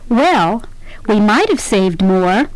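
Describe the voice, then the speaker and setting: A woman reading scripted practice dialogues for a language course, clearly and deliberately. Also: medium pitch, very animated, dry recording, low-quality recording. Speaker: dialogue reader. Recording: dry studio sound.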